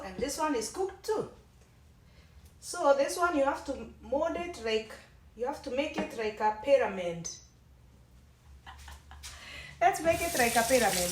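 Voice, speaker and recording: A woman's voice: a little high, slight background noise. A woman with a Kenyan accent, chatting casually and rambling a little while she cooks in her home kitchen. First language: Kenyan mother tongue. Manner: chatty, rambling, with frequent restarts. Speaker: cooking vlogger. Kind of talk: casual cooking demonstration. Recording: echoey room, mic at a distance.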